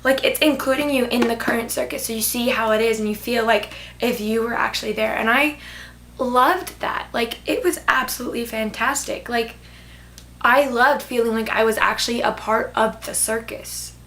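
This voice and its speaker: A young woman reviewing a book she loved in a casual, rambling vlog, hoarse as she loses her voice. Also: on the high side, steady tone, normal speed, fairly bright tone. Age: teens. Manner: gushing, rambling with many "like"s. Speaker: book vlogger.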